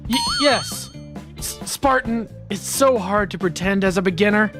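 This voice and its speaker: A man's voice: high voice, a little slow, a bit bright. A man voicing an introverted, resigned teenage schoolboy in an anime-style dub, mild and hesitant. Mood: flustered. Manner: mild, hesitant, stammers when flustered. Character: introverted teenage schoolboy narrator, secretly capable, meek and resigned. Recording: background music under voice.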